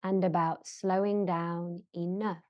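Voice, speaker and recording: A woman guiding a yoga nidra relaxation in a gentle, measured manner, leaving long pauses between short phrases. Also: lowish voice, little pitch movement, no echo, lo-fi sound. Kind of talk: slow guided relaxation meditation.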